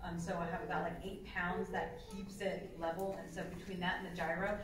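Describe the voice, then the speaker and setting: A chatty woman explains droid building on a panel. Her voice sits a little low and carries many fillers. It is heard from across a large, echoey convention room with noise in the background. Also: flat delivery, fairly quick. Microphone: table mic recorded by a far camera in the audience. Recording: echoey hall from far off.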